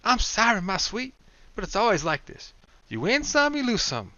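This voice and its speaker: A cocky young gambler played by a male narrator fires off loud, high-pitched jokes and mockery. His voice is sharp and swoops all over. The audiobook recording sounds dull and lo-fi. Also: huge pitch swings, moderate pace, no room sound, full character voice. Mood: wisecracking. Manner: loud, high, mocking, jokey. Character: young man, cocky wisecracking gambler sidekick.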